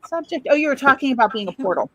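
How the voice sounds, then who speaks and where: A woman's voice: sits high, lively intonation, very fast, pushed and bright, dry recording, dull audio. A woman from Chicago chats as a guest on a podcast. Her talk is loose and rambling, and she often trails off before circling back to her point. Her voice is dry and sits close to the mic, with almost no room sound. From Chicago, USA. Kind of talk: casual storytelling conversation.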